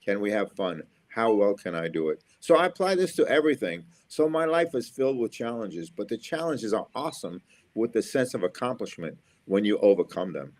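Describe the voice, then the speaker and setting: Man, middle-aged, from Florida, engineer guest, motivational, repeats phrases, lowish voice. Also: very animated, a little fast, a little mellow. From USA, Florida. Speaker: interview guest, engineer and school speaker. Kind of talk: casual interview answers.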